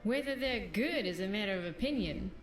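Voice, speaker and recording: A woman's voice: mid-range voice, lively intonation, a little fast, close to an everyday voice. A woman playing a wry, bawdy female follower in a fantasy video game, with teasing, playful banter. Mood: amused, playful. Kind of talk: video game companion dialogue. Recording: roomy game audio, music behind.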